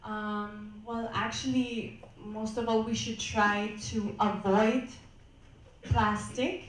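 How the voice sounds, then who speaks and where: A woman's voice: a little high, flat delivery. Woman with a voice in an echoey hall. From Austria. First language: German. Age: 20s. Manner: halting, careful answers. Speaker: pageant contestant. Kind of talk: answering judges' questions. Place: hotel event hall. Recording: echoey hall, distant mic.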